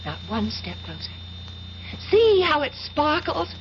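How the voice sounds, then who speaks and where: Crazed vengeful young woman, high voice, slow taunting threats, old radio play w/ some hiss. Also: huge pitch swings, heavily acted. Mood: eerie, gloating. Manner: slow, menacing, taunting, drawn-out phrases. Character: vengeful, unhinged young woman, eerily calm then frantic. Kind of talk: 1970s radio drama acting. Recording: dull, noisy 1970s radio recording.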